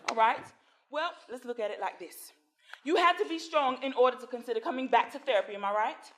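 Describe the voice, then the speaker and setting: An actress playing a calm, assured therapist who coaxes her patient with patient, leading questions in a stage play. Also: on the high side, a little fast, noisy background, lightly acted. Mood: coaxing. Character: calm assured female therapist, coaxing and firm.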